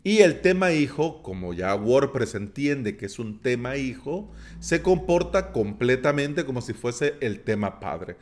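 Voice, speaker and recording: A man's voice: medium pitch, big pitch swings, a little slow, a little hiss or hum. A man hosting a solo tutorial podcast, explaining terms in a chatty, playful way with jokey asides. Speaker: podcast host.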